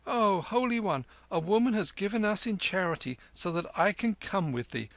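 An eager teenage street boy pleads and chatters in a raised, quick-witted voice, as a man reads him. The tone is bright and gravelly, with wide swoops of pitch. It sounds close and dry. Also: animated, dry recording. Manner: high, eager, wheedling.

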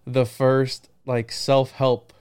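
A man with a clear, smooth voice talks in a loose, conversational podcast style. His delivery is rambling and reflective, with frequent little check-ins as he works through his thoughts. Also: mid-range voice, little pitch movement, drawn-out words, a little mellow. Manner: rambling, musing, peppered with right and you know. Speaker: podcast host. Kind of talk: casual podcast conversation on esoteric ideas.

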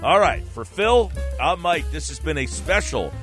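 A man's voice: fairly high pitch, very animated, measured pace, fairly bright tone, faint music behind. A man hosting a sports podcast in a polished sports talk radio style, as if wrapping up a show.

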